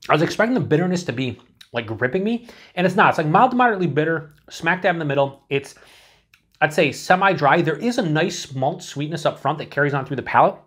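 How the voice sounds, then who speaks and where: A man's voice: on the high side, expressive, fast, fairly bright tone, breathy edge. A man in his forties, chatting casually to camera as he reviews a beer, thinking aloud with lots of fillers and restarts, in a small indoor room.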